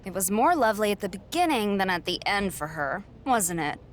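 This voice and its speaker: A woman's voice: medium pitch, big pitch swings, brisk, a little hiss or hum, lightly acted. An actress playing a young female champion wrestler in a video game, blunt, sarcastic and defiant. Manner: blunt, sardonic. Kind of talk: video game cutscene acting.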